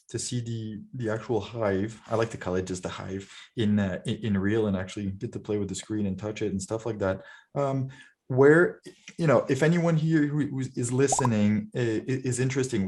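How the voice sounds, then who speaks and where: A man's voice: lowish voice, fairly quick, a little mellow. A man hosting a podcast, asking casual, conversational interview questions. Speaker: podcast host.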